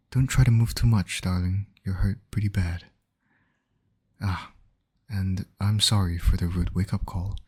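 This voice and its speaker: A man acting as a calm, caring medic in an ASMR roleplay, gently reassuring a patient and explaining each step. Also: deep voice, husky. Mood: soothing, firm. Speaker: voice actor in roleplay audio.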